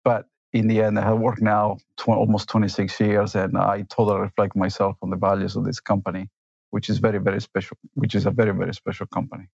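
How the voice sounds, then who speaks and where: A man's voice: fairly low pitch, completely flat delivery, quick pace, vocal fry, a little mellow, breathy edge, no echo, dull audio. A man in his fifties, from Argentina and Italy, giving reflective interview answers as a company executive, with frequent fillers and tag questions.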